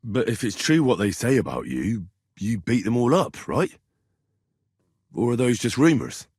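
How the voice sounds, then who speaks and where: An actor playing an earnest, plucky young medieval adventurer in a video game, polite and plain-spoken, with a slightly husky voice. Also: male voice, medium pitch, big pitch swings, unhurried, darkish tone, no echo, studio quality. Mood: curious. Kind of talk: video game character dialogue.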